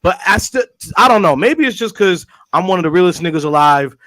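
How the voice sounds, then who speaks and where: High-voiced joking man hosting a podcast. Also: expressive, brisk, bright, forceful tone, a little hiss or hum. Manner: laid-back, joking, many restarts. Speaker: podcast host. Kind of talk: casual group chat.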